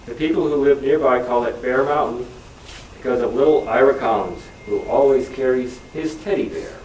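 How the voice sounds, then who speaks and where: An echoey live recording with faint noise. A man with a mid-pitched voice narrates a spooky radio play as scripted storytelling. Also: moderate pace. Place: club meeting room, live reading. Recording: roomy distant microphone, faint noise.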